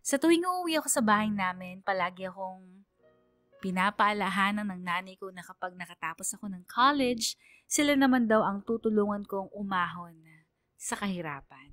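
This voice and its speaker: A Filipino woman working as a radio DJ, narrating a listener's letter as a scripted dramatic story in an earnest, measured way. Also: medium pitch, expressive, fairly bright tone, no echo, studio quality. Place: dry studio, music behind.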